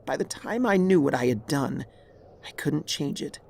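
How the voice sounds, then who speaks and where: A man voicing a dying, regretful pony mare, a remorseful former tyrant, in a weary, halting, husky voice for a dramatic story. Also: fairly high pitch, animated, pitched above the performer's own voice. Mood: sorrowful, weary. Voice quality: raspy, husky, creaky.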